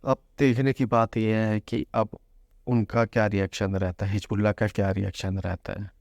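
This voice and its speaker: A man's voice: fairly low pitch, level tone, moderate pace, gravelly, a little mellow, no room sound, studio quality. A man presenting an informal news explainer, mixing in English terms as he goes. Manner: mixes Hindi with English terms.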